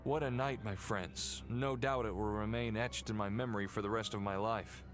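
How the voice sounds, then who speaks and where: An actor playing a courteous, earnest aristocratic heir in a video game, speaking in a formal way. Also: male voice, fairly low pitch, fairly even intonation, normal speed, lightly acted. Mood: grateful. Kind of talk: video game cutscene acting. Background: game music.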